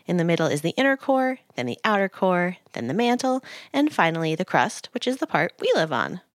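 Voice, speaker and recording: Lively woman narrating a science show. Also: fairly low pitch, brisk, a little hiss or hum. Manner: bright explainer, playful analogies. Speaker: podcast host. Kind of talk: scripted science narration for kids.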